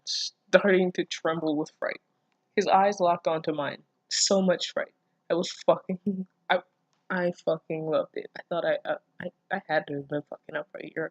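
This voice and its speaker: Dry-sounding, expressive reader giving incredulous commentary. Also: medium pitch, normal speed, no room sound, rough recording. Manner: expressive, incredulous asides with frequent swearing. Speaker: online reader reacting to fan fiction. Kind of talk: casual read-aloud with running commentary.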